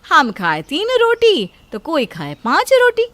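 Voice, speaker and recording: A woman voicing a sharp-tongued, taunting young daughter-in-law in a family story. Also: high voice, huge pitch swings, unhurried, a little hiss or hum, voice pushed up, full character voice. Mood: scornful nagging. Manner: taunting, complaining.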